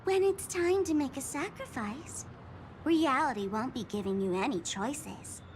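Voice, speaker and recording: A woman's voice: high voice, unhurried, a little hiss or hum. A woman playing a playful, sadistic young female bomber villain in a video game, with mocking, theatrical delivery. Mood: taunting. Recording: music under the voice. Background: background music.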